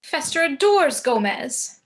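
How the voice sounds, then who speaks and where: Woman playing an elegant gothic mother, high voice. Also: very animated, takes it slowly, heavily acted. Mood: dramatic concern. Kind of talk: table read of a film script.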